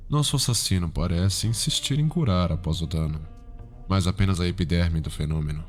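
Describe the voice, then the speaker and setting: A man's voice: deep voice, a little fast, darkish tone, faint music behind, lightly acted. A man playing a refined, sinister, erudite serial killer in a Brazilian Portuguese ASMR roleplay, speaking pompously and precisely, savoring his words. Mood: clinical, savoring.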